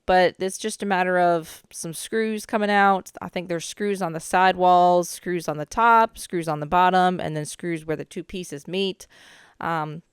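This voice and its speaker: A young woman talks through her renovation work in a relaxed, chatty way, full of little asides. Her voice sits a bit low and comes through very clean despite a slight hoarseness from a cold. The recording is dry and close, with no room sound. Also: dry recording. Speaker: DIY renovation vlogger. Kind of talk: casual voice-over narration of demolition footage.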